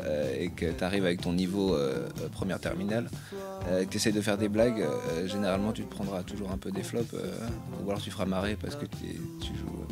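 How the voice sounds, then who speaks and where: A man, a singer-songwriter, answering interview questions about his album in a casual, hesitant way, with many fillers and restarts. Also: lowish voice.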